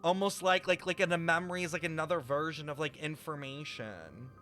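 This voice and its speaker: A man livestreaming a game, reading its text aloud and thinking through it in an animated, puzzled way. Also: a little high, brisk. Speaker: game streamer. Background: game music.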